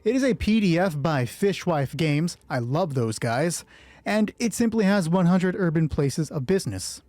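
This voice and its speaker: A man narrating a solo tabletop roleplay as host, moving between measured storytelling and casual asides. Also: on the high side, expressive, a little mellow, no room sound.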